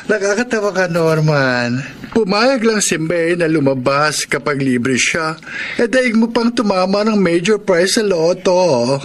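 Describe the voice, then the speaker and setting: Teasing, slightly high-voiced male buddy. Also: lively intonation, unhurried. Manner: teasing, casual. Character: Eman, teasing but protective young male friend. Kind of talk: Tagalog radio drama acting.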